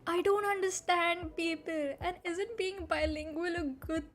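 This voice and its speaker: A young woman streamer with a high, bright voice whose first language is Hindi. She reads posts aloud and reacts in an animated, incredulous way, laughing often and swearing freely. Soft music plays underneath her. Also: measured pace. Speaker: VTuber streamer. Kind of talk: reading and reacting to online posts on a live stream.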